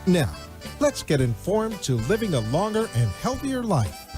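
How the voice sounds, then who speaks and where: A man's voice: sits high, sing-song, measured pace. A man reading a show intro and sponsor message in a polished announcer delivery. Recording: announcer over loud music.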